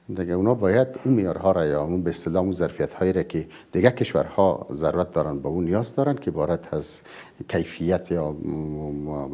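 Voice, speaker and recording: A man, an official, speaking slowly and haltingly with many fillers. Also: deep voice, mellow, husky. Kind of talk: interview clip.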